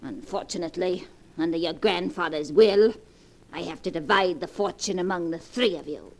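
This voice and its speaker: A woman's voice: fairly low pitch, normal speed, darkish tone, poor audio. A woman playing a rich old grandmother in a 1940s radio drama, dry, withering and imperious, on an old recording. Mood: amused, commanding.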